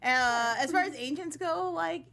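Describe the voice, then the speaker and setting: A woman's voice: high-pitched, unhurried, creaky, a bit bright. A woman speaking as a guest panelist on a film review show, chatting in a breezy, informal, slangy way. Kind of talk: casual, chatty panel discussion.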